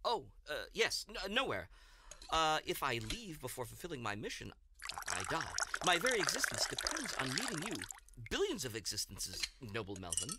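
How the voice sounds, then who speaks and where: A woman's voice: low-pitched, huge pitch swings, normal speed. A woman playing a male alien disciple in a zany sci-fi audio comedy, groveling and desperate, with stilted formal phrasing. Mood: fawning, desperate. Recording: clearly noisy track with clicks.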